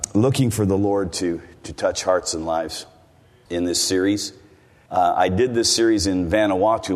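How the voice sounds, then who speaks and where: An older man with an American accent preaches in a relaxed, folksy manner, wandering through side stories and asking the congregation questions. His voice sits very low. It rings out with a long reverb, as in a large church hall. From United States. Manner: folksy, rambling, with asides to the congregation. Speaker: visiting preacher. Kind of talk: sermon.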